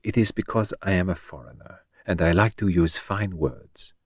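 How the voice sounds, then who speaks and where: A man doing a gentle detective. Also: low voice, expressive, normal speed, slightly rough, no room sound, clean studio sound, exaggerated voice. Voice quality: precise, formal phrasing. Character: fussy foreign male detective, gentle, weary. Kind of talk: audiobook character voice.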